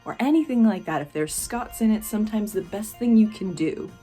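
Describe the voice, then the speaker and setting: A woman's voice: mid-range voice, lively intonation, fairly quick, warm tone, soft background music. A young American woman hosting her channel, chatting casually in a nervous, self-deprecating way. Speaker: channel host. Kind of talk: casual chat.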